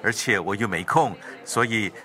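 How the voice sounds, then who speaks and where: Anxious loyal servant, radio drama. Forceful lowish voice, hesitant stammer, Singaporean accent. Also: male voice, normal speed. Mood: flustered, evasive. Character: nervous, flustered loyal male household servant.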